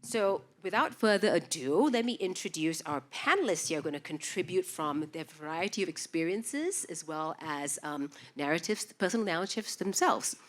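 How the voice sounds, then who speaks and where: A woman's voice: on the low side, lively intonation, normal speed, few pauses. A woman who was born in Indonesia and grew up in Singapore, introducing a panel on a festival stage with an upbeat, fact-listing manner and many fillers. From Indonesia, raised in Singapore. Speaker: panel moderator. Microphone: stage microphone.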